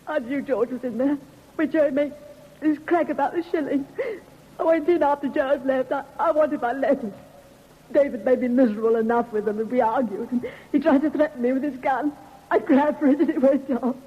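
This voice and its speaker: A woman's voice: high voice, brisk, in a large hall, narrow, tinny audio, close to an everyday voice. A woman playing a desperate, cornered young woman in an old radio crime drama, on a vintage recording. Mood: anguished, confessing. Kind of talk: 1950s radio acting.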